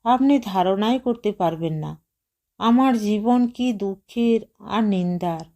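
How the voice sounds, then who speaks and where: Lady reader doing young housewife in a story, bitter n pleading, slow soft mellow voice, wont back down. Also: mid-range voice. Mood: bitter anguish. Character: anguished, resolute young housewife, bitterly proud.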